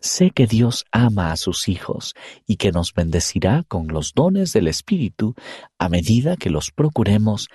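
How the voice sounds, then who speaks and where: A man reading a first-person story aloud in an earnest, expressive way. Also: lowish voice, a little slow, slightly rough, dry recording, clean studio sound. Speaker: reader. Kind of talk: scripted first-person narration.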